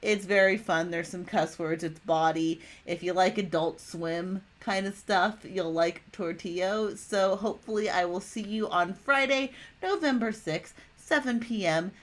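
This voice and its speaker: A woman's voice: mid-range voice, a little slow, few pauses. An American woman, slightly tipsy, talking in a casual, chatty, enthusiastic way as she makes an impromptu video announcement. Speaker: playwright announcing own show on video channel. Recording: music playing behind the voice. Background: music.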